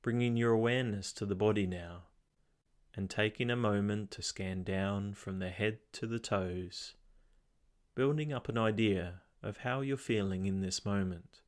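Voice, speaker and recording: A man with a fairly low voice leads a guided meditation. He gives calm, measured instructions and leaves long pauses between phrases.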